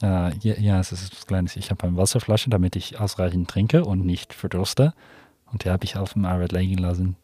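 Low Dutch-accented man, hesitant German. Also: steady tone, normal speed, dark tone, husky, no room sound. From Netherlands. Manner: hesitant, searching for German words. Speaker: guest. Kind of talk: casual chat. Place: small recording studio.

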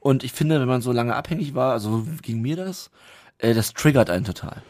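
A man hosting a podcast, chatting casually and telling stories in a lively way. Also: mid-range voice, fairly quick, no echo. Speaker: podcast host. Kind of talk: casual chat.

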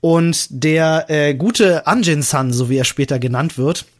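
A man's voice: fairly high pitch, measured pace. A man co-hosting a podcast in casual chat, explaining things with enthusiasm. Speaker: podcast co-host.

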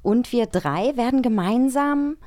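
A woman's voice: fairly high pitch, lively intonation, a little slow, warm tone. A woman from eastern Germany co-hosting a podcast in casual, conversational talk. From East Germany. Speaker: podcast co-host. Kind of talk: casual chat.

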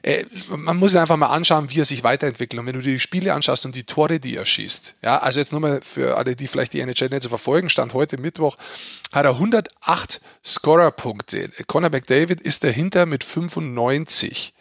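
A man's voice: mid-range voice, a little fast, gravelly, fairly bright tone, no room sound, clean studio sound. A man talking casually and emphatically about sport as a podcast co-host, explaining his points. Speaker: podcast co-host and ice hockey pundit.

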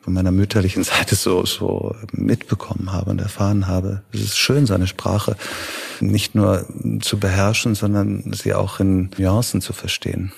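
A middle-aged man with a heavily gravelly, raspy voice, answering an interviewer's questions. He talks in a slightly low register and thinks aloud, pausing as he searches for the right words. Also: flat delivery. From Italy and Germany. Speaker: festival director, interview guest.

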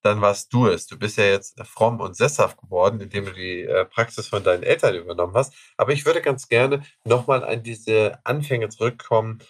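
A male podcast host with a fairly low voice speaks enthusiastically and conversationally, now and then pausing on filler sounds. His voice is recorded dry and close, with almost no room sound. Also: dry recording. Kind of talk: podcast interview.

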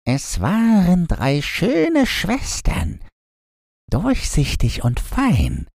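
A man voicing solemn, mournful hyacinth flowers in a fairy tale, in a hushed, swaying recitation. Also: sits high, huge pitch swings, drawn-out words, vocal fry, no room sound, clean studio sound, voice pushed up, full character voice.

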